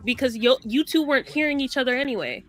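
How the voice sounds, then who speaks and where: A woman's voice: high-pitched, animated, quick pace, fairly bright tone. A woman on a panel defending herself and questioning why she is being corrected. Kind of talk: heated panel debate.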